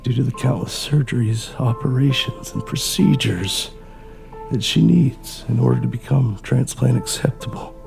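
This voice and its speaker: Man acting a gravelly, weary, protective father. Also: medium pitch, moderate pace, over music. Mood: bitter, angry. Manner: intense, emotional, weary. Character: bitter, weary, protective father of a sick girl. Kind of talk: dramatic audio play acting.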